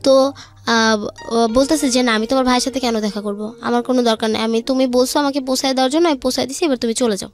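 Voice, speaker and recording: Young female guest, high voice, Bangladeshi accent, informal personal storytelling over faint music. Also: steady tone, fast talker. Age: young adult. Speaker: guest telling her life story.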